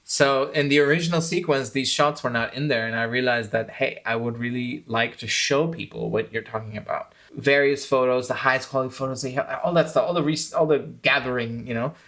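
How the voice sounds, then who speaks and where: Guy breaking down his own film shoot, thoughtful, kinda self-critical, middle pitch. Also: a little fast, few pauses, fairly bright tone. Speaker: filmmaker and channel host.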